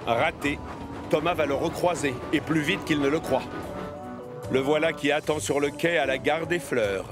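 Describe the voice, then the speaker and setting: A man narrating a scripted children's cartoon story as an expressive storyteller. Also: on the high side, moderate pace, music in the background.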